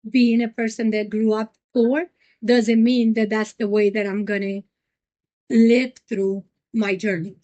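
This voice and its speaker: A middle-aged woman from Puerto Rico, the president of a nonprofit, answering interview questions earnestly with many pauses and ums. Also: a little high, a little mellow.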